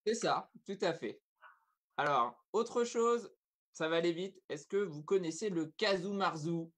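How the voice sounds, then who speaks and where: A woman's voice: on the low side, animated, a little slow. Quiz host, a woman chatting casually. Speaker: quiz host on a youth radio-style talk show. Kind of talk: casual chat, hosting a food guessing game.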